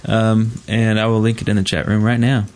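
A man's voice: on the low side, flat delivery, fairly quick, darkish tone. Man hosting a casual podcast. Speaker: podcast host.

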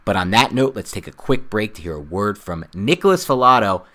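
A man's voice: on the low side, animated, a little fast, sharp tone. A man hosting a football podcast, talking in a loose, chatty way full of asides. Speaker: podcast host. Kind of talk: casual sports podcast chat.

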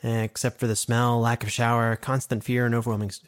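A man's voice: medium pitch, level tone, fairly quick, background noise. A man voice actor rambling and joking around between recording takes. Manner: rambling, jokey, self-mocking. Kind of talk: goofy chat between takes.

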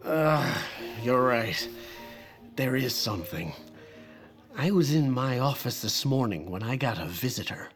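A man's voice: mid-range voice, animated, measured pace, close to an everyday voice. An actor playing a troubled, respectable male senator, slightly drunk and exasperated, in a period audio drama. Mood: tipsy, uneasy. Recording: music under the voice.

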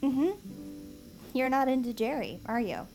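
Higher-voiced, bossy young woman. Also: animated, measured pace, a little mellow, faint music behind. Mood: chatty, excited. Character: bossy, earnest, chatty young woman, nerdy best friend. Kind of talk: naturalistic audio drama acting.

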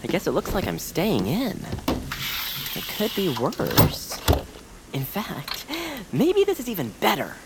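A fussy, polite little homebody fellow, prim and timid, stammering when flustered. The voice is on the low side for a woman and swoops dramatically, with clear noise behind it. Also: huge pitch swings, slow, full character voice. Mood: prim, contented. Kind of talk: family audio drama acting.

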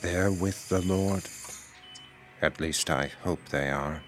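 An actor playing a solemn small-town pastor with a measured, preachy, paternal manner in an audio drama. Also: male voice, sits low, fairly bright tone, soft background music. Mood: pious, grave. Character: solemn, slow-talking pious small-town male pastor.

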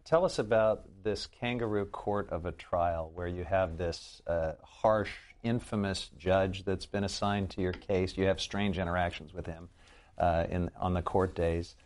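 A man interviewing an author, setting up questions in deliberate, broken-up phrases. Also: low voice, fairly even intonation, darkish tone. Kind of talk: measured book-interview questioning.

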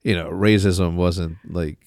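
A man's voice: deep voice, steady tone, unhurried, darkish tone, no room sound, studio quality. A man in his forties hosting a casual podcast chat, rambling and swearing freely as he tells stories. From moved to the United States at thirteen. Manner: loose, profane, rambling storyteller. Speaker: podcast host.

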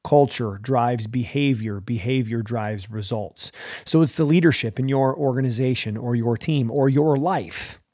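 Man, motivational coach, emphatic slogans, middle pitch, dry close sound. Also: a little slow, dark tone, no echo. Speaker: mental performance coach and podcast host. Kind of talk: motivational talk.

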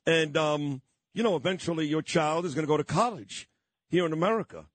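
A man's voice: fairly high pitch, a little fast, no echo. A man hosting a radio interview, talking emphatically in long run-on lists. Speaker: radio talk host.

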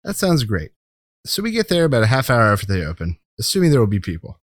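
A man's voice: mid-range voice, huge pitch swings, fast, dry recording, studio quality. An American man hosting a podcast in casual, conversational talk. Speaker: podcast host.

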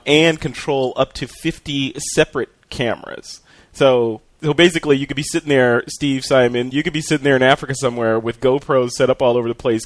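A man's voice: medium pitch, no echo. A man hosting a podcast, chatting casually through photography news with frequent fillers. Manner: relaxed, talkative with fillers and restarts. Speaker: podcast host.

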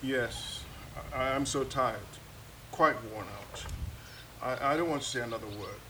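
A man's voice: mid-range voice, unhurried, sharp tone. A man acting in an audio drama as a weary, resigned character who speaks hesitantly. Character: weary, resigned man bearing bad news. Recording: distant from mic, noisy ambience.

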